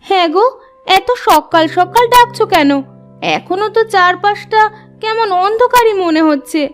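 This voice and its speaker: A woman plays a sweet-tempered young wife in a village cartoon. The voice is extremely high, tender and plaintive. Faint music runs underneath. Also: normal speed. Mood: puzzled, concerned. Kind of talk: Bengali moral-story cartoon voice acting.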